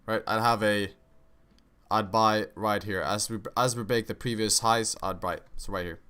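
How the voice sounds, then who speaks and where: A young man streams live, his speech carrying an American-sounding accent and a slightly low voice. He talks casually and slangily through trading setups, tossing in "bro" and "right?" as he goes. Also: steady tone, normal speed, sharp tone, smooth. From Kosovo.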